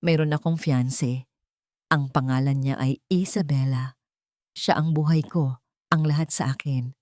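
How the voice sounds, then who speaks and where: Woman voicing a guilt-ridden man, mellow and sincere. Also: rumbling low, steady tone, a little slow, dry recording, clean studio sound. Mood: solemn. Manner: sorrowful, sincere.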